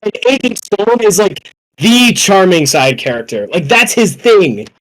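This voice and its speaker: A young man with a notably high voice talks on a casual podcast. He is excitable and slangy, swearing freely as he goes. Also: measured pace, low-quality recording. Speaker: podcast panelist.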